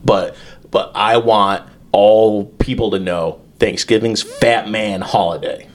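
A man's voice: lowish voice, fairly even intonation, slow. A man hosting a crude comedy podcast, swearing freely, joking and laughing often as he riffs. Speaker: podcast host.

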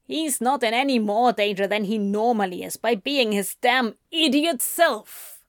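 A woman's voice: a little high, lively intonation, takes it slowly, no echo, clean studio sound. A woman doing a drawling, sardonic male demon. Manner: drawling, hissing, sulky.

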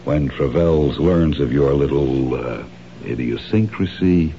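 An actor playing a suave, menacing male thief who calmly blackmails a woman, in a 1970s radio drama. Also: a bass voice, slight background noise, exaggerated voice. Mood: coolly persuasive. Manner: smooth, unhurried, coolly persuasive. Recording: dull old radio broadcast.

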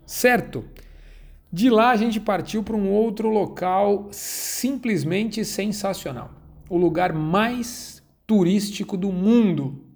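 A man's voice: high voice, slow pace, vocal fry. A man, hosting a learning channel and chatting casually to viewers about a trip. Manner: relaxed, chatty, with frequent tag questions. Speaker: host of a Portuguese-learning channel. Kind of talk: casual travel storytelling to viewers.

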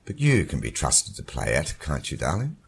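An actor playing a cynical dead husband who mocks his widow in a warm, controlled, lilting voice. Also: rumbling low, huge pitch swings, normal speed, vocal fry, slightly rough, full character voice. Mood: mocking. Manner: sardonic, taunting. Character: mocking dead husband, middle-aged man, cynical.

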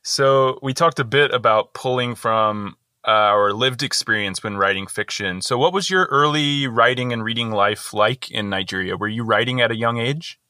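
Bright-voiced male interviewer, close and dry. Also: mid-range voice, moderate pace, hardly any pauses, no echo. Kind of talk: casual interview questions.

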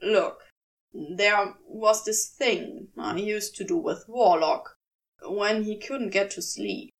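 A woman voicing an awkward, gruffly caring man with a drawling, hesitant delivery in a story reading. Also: medium pitch, slow pace, far from the mic.

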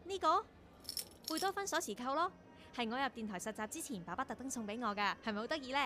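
An actress in a Cantonese radio drama playing a naive, eager young radio intern, earnest and chatty, with a Hong Kong accent. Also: female voice, fairly high pitch, lively intonation, quick pace, over music, natural voice. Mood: bright, curious.

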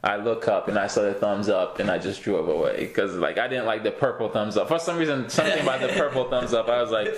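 A man's voice: fairly low pitch, fast, few pauses, reverberant. A young man from Haiti, a guest in a casual interview, talking easily with many fillers and frequent laughs.

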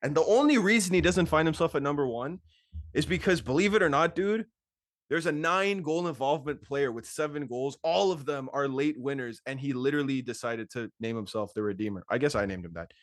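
A man co-hosting a football podcast, rattling off his points at a fast clip. He gets animated and laughs often as he argues his case. His voice sounds dry and close to the mic. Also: on the high side, no room sound. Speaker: podcast co-host. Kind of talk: casual sports chat.

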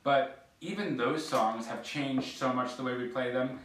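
A man's voice: mid-range voice, unhurried, a bit bright, a little hiss or hum. A man in his thirties, a band musician and booker, chatting casually in an interview with frequent fillers and restarts. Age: 30s. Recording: roomy echo, far from mic.

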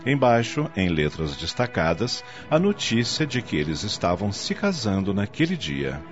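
Deep, animated male radio drama narrator. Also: moderate pace. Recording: dull lo-fi audio, music underneath.

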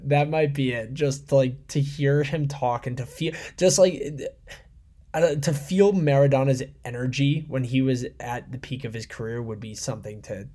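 A man with a medium-pitched voice in relaxed conversation about football. He co-hosts a podcast and tends to think aloud, with halting, informal phrasing. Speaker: podcast co-host. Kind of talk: casual football chat.

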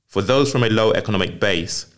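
A man's voice: lowish voice, brisk, a bit bright. A male podcast host who reads a scripted introduction, then asks casual questions, often repeating words and saying "fair enough".

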